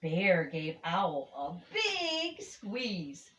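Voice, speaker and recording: Unhurried older woman reading in echoey room. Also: on the low side. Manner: expressive, emphatic phrasing for children. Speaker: storybook reader. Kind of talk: children's story read-aloud.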